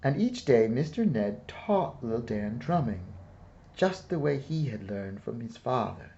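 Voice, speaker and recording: A man narrating a children's storybook aloud in a measured, deliberate way with pauses. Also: medium pitch, sing-song, gravelly, dark tone, highs cut off. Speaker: storyteller reading a picture book aloud.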